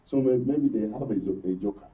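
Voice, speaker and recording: A man with a Nigerian accent giving conversational political commentary as a guest, in a halting way, searching for his words. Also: medium pitch. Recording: muffled, far from microphone.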